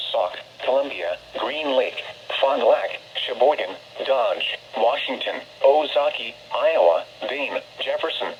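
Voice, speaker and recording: A man reading a formal, scripted public service announcement in a measured way, heard over a weather radio. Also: medium pitch, vocal fry. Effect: weather radio broadcast. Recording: muffled radio speaker with noise.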